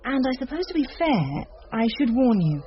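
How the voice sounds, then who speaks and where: An actress playing a wry, worldly British schoolteacher in a radio drama, talking in a dry, teasing, gossipy way. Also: female voice, high voice, very animated, normal speed. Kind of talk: radio drama acting, conversational. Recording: slightly dull radio recording, background noise.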